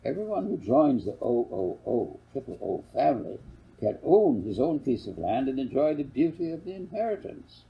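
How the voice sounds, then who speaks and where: A man's voice: mid-range voice, sing-song, normal speed, vocal fry, dull audio, voice pushed up. A man voicing a slick, ingratiating land salesman who blusters and stammers in a storybook reading. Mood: smooth pitching. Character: slick, flustered salesman pushing a land scheme.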